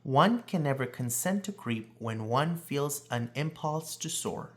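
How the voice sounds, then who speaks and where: Male read-aloud presenter, children's nonfiction storybook, lively varied intonation, emphatic delivery. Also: medium pitch, a little slow, background noise.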